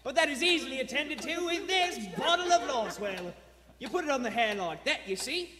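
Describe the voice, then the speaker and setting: An actor playing a brash, pushy street hawker and conductor in a live radio play, with showy, bossy patter. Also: male voice, very high-pitched, animated, fairly quick, crowd noise. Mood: grand sales pitch. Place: theater stage before a live audience. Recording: live theater hall, from stage.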